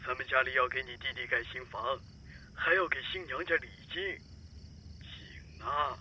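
A man's voice: medium pitch, moderate pace, bright, forceful tone, slight background noise, low-quality recording. A man playing a grasping, money-hungry middle-aged village father in a radio drama, blunt, pushy and nagging. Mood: whining, pressing. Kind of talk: modern Chinese radio drama.

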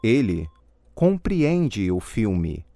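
A man giving scripted model answers in a language drill with careful, deliberate enunciation. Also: lowish voice, big pitch swings, creaky, warm tone, no echo. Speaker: language course model speaker.

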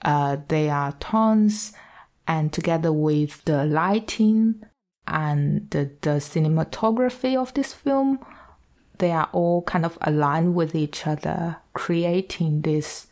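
A woman's voice: low-pitched, slow pace, a little mellow. A woman in a casual film discussion, speaking as a panelist with thoughtful, hesitant phrasing.

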